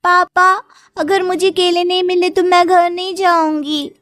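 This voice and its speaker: A woman voicing a stubborn, excitable little girl in a cartoon story, pleading in a whiny, wheedling way. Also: extremely high pitch, measured pace, no echo, clean studio sound. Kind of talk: Hindi cartoon story acting.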